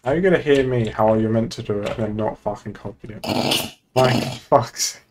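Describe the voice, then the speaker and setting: A man's voice: lowish voice, moderate pace. A young man streams an online police roleplay game. He talks casually and bluntly, swearing at times. His headset mic carries a little noise and keyboard clicking. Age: young adult. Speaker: streamer playing a police officer.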